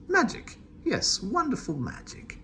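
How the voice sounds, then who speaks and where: Storybook muddler, male reader acting: easily astonished, indignant and demanding, very animated, faint noise behind. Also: high voice, unhurried, pitched above the performer's own voice, exaggerated voice. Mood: delighted wonder. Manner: exclamatory, demanding.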